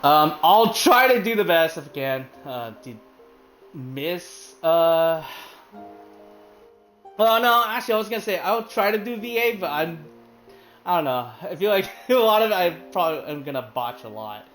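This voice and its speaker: A man's voice: on the high side, lively intonation, normal speed, fairly bright tone. Casual male streamer chatting over gameplay, filler-heavy and loose, with game music faint behind. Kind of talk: casual commentary while playing a game. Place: hot room at home with a fan running.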